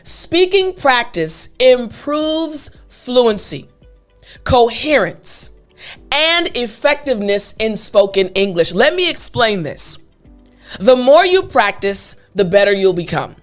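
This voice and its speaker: A woman teaching an English lesson, speaking straight to her learners in an upbeat, emphatic way with deliberate enunciation. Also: fairly high pitch, sing-song, soft background music. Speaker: English teacher with an online academy. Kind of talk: upbeat scripted lesson talking to viewers.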